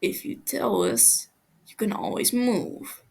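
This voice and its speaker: A woman voicing a calm, menacing male interrogator in an audio story, deadpan and unhurried. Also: a little high, vocal fry, husky, heavily acted. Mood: calm threat.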